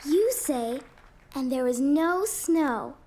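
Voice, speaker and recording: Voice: high-pitched, animated, takes it slowly, darkish tone. A performer voicing a kind, reassuring little girl acting in a school play in a cartoon. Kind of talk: scripted children's cartoon acting. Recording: hall reverb, faint music behind.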